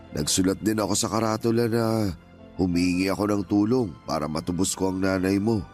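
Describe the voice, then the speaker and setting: A man acting as a gentle, hurt older father in a radio drama, pleading earnestly and emotionally with his grown child. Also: low voice, natural voice. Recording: music playing behind the voice.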